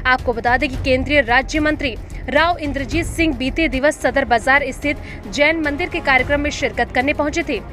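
A woman reading a scripted news report as a voice-over in a formal, measured way. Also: sits high, a bit bright, faint music behind.

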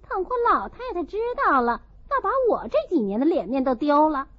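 A woman narrator plays a proud young mistress of a rich house in a classic-novel audiobook. She is scornful and cutting, with a high voice that swings widely. The recording is a little dull. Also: very animated, a little fast, dry recording.

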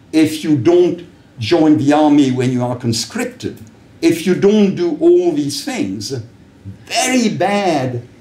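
Casting: older male lecturer, French accent, native French, emphatic and deliberate, higher-pitched, roomy live-room sound. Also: a little mellow. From Paris, France. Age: older, about 70s. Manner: emphatic, deliberate, rhetorical phrasing with pauses. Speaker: public speaker, lecturer. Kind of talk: live political talk to a small audience. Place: indoors, small wallpapered room with an open view to a window. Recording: roomy echo from camera distance.

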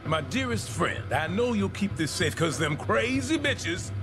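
A sly and smug fixer from a video game. He drawls teasingly in a fairly high, very animated voice. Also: takes it slowly, music in the background, exaggerated voice. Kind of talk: video game cutscene acting.

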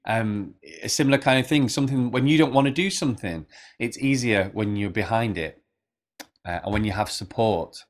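A man's voice: on the low side, moderate pace. A man in his thirties preaching an all-age sermon in an engaging, direct way, putting questions to his listeners. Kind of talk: all-age sermon, addressing kids and adults. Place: small plain indoor room.

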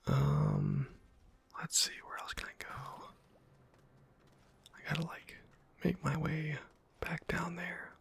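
A man softly whispering casual, rambling ASMR commentary over a video game, with a breathy, husky voice. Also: a little high, fairly even intonation, takes it slowly, long pauses. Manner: soft-spoken, rambling to himself. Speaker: ASMR gaming host.

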